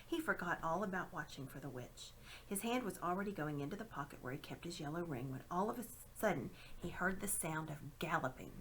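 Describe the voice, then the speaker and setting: A woman reading a children's story aloud with dramatic, expressive narration. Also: low-pitched, a little fast, slight background noise, low-quality recording. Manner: dramatic, animated. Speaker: storybook reader.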